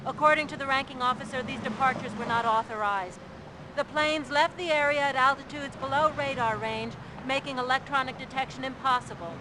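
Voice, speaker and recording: High-voiced serious female news correspondent played by an actress. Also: close to an everyday voice. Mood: grave. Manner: measured, formal reporting. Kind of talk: scripted news report in a game. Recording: noisy outdoor report with vehicle sounds.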